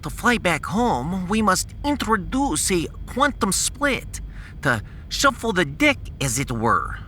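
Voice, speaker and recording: A man's voice: high voice, big pitch swings, takes it slowly, sharp tone, slight background noise, pitched above the performer's own voice, full character voice. A man playing a proud, pedantic scientist in a science fiction audio drama, long-winded and dropping his articles. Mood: explaining.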